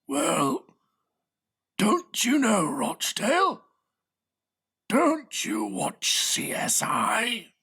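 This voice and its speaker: Creaky, eccentric old lord. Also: male voice, very high-pitched, wildly swooping pitch, pushed and bright, voice pushed up, heavily acted. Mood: gleefully defiant. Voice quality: creaky, rasping. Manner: dramatic, drawn-out delivery. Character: cackling, eccentric, indignant elderly English lord. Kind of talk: comic audiobook character reading.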